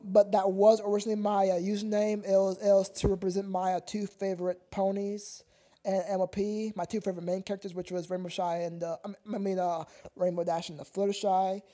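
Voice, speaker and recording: Livestreamer just chatting w viewers, mid pitch voice, kinda halting and repeats stuff a lot. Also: level tone, warm tone. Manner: halting, repetitive, addresses viewers as sir. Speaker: livestream host. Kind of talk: casual livestream chat with viewers.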